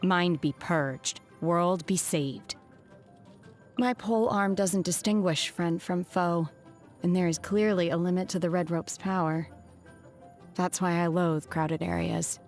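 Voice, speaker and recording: An aloof young woman exorcist for a video game. Her voice sits on the low side and stays measured and solemn. She speaks formally, with a detached, softly deadpan manner, over faint background music. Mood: solemn incantation, then calm, detached. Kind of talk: scripted video game character voice lines.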